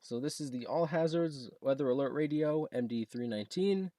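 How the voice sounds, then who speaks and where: A young American man chatting casually through a home unboxing video, halting and rambling as he goes. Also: mid-range voice, dark tone, no room sound. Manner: halting, rambling, reads box text aloud. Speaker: video creator showing a gadget. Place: basement room at home.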